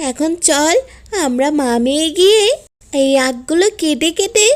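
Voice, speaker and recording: Woman playing a high-voiced caring fox mother. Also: lively intonation, measured pace. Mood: pleased. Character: caring, worried fox mother, hard-working housemaid. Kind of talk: cartoon story dialogue. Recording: music under the voice.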